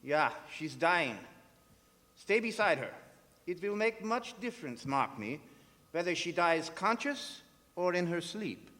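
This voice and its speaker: An actor playing a grave, urgent professor who hunts vampires, speaking emphatically and portentously in radio drama style. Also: male voice, high-pitched, sing-song, measured pace, bright, forceful tone, full character voice. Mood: grave, resigned. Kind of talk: theatrical radio drama acting. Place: performing arts center stage. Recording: echoey theatre hall with music.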